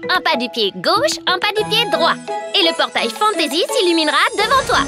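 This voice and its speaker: A woman voicing an eager, dramatic young girl in an animated cartoon. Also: very high-pitched, brisk. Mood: excited. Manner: dramatic, exclamatory. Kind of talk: French animated cartoon dubbing. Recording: music clearly behind.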